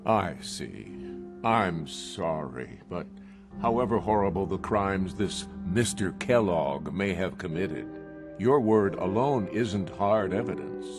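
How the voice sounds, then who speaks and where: Casting: pompous middle-aged mayor for a game, lowish voice, very animated delivery, music underneath. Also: male voice, takes it slowly, exaggerated voice. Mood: condescending, regretful. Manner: pompous, indignant, speechifying. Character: pompous middle-aged male city mayor, defensive politician. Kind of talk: video game voice acting.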